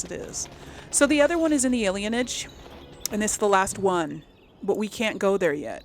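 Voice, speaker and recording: A woman hosts a video game let's play in an everyday mid-pitched voice with a creaky edge. She talks slowly and casually, thinking out loud to her audience. Faint noise and game ambience sit behind her. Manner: chatty, thinking aloud to the audience. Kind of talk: casual gameplay commentary.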